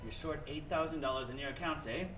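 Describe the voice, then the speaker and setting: Guy doing a mean old banker in a 1940s radio play, highish voice, mocking, roomy sound. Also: quick pace, recorded from a distance, over loud music, lightly acted. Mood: sneering, probing. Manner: mocking, contemptuous. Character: cruel, sneering old rich banker. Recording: echoey stage, background noise.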